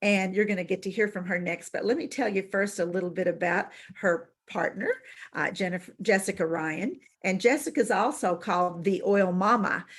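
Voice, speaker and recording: A woman's voice: medium pitch, normal speed. A woman hosting a webinar, introducing her guests in a loose manner and stumbling a little over names. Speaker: webinar host. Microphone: video call.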